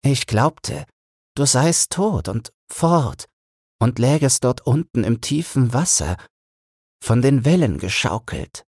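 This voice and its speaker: A fond old wife played by a man reminisces in a bedtime storybook reading. The delivery is slow and smooth, lifted well above the reader's own pitch, and recorded dry. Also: lively intonation, a little mellow, no echo, exaggerated voice. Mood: sorrowful longing. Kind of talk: audiobook fairy-tale character reading.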